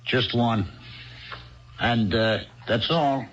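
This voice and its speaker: An actor playing a kindly, paternal bartender, measured and gently reproving, in a 1970s radio drama style. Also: male voice, medium pitch, a little hiss or hum. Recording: dull 1970s radio broadcast recording.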